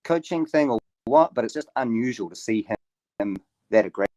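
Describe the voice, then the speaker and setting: A man guesting on a sports podcast over a remote call, rambling and halting as he reels off stats. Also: mid-range voice, expressive, dark tone, no room sound. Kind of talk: casual sports podcast chat. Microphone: remote call mic.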